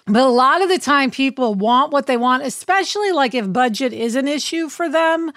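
A woman with a raspy voice from illness, co-hosting a podcast in casual chat and acting out quoted lines. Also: high voice, animated, moderate pace, a bit bright, dry recording, studio quality. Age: adult with a child. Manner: chatty, acts out quoted dialogue. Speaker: podcast co-host.

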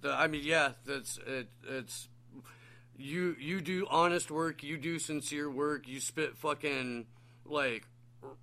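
Male interviewer, slow and bright, casual and agreeable, fond of fillers. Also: fairly high pitch, fairly even intonation. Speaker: podcast interviewer.

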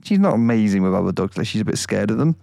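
A man's voice: fairly low pitch, expressive, fast, gravelly, dark tone, no room sound, studio quality. A man co-hosting a podcast, talking in a rambling casual way with frequent laughs. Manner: rambling, laughs often, many fillers. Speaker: podcast co-host.

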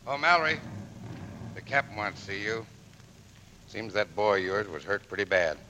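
Lo-fi matter-of-fact Navy shipmate. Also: male voice, lowish voice, normal speed, bright, forceful tone. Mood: sombre. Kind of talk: 1940s radio drama acting. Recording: dull, noisy old radio recording.

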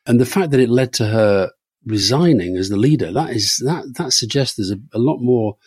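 A man's voice: medium pitch, lively intonation, a little fast, a little mellow, slightly rough, no room sound, studio quality. Wry man co-hosting a politics podcast. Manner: wry, teasing, many restarts. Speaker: podcast co-host. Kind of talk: political discussion.

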